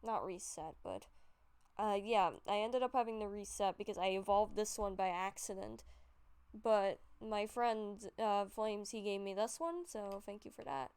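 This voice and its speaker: A woman's voice: medium pitch, a little slow, a little mellow, smooth. A woman with a stuffy nose giving rambling, casual commentary over gameplay, with frequent fillers and self-corrections. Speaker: YouTuber showing a game collection.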